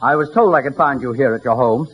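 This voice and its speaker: An actor playing a flustered, earnest visitor in radio comedy, hesitant, formal and secretive. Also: male voice, mid-range voice, quick pace, highs cut off, lightly acted. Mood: anxious, earnest. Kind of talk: 1940s-50s radio comedy acting. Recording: noisy old radio broadcast.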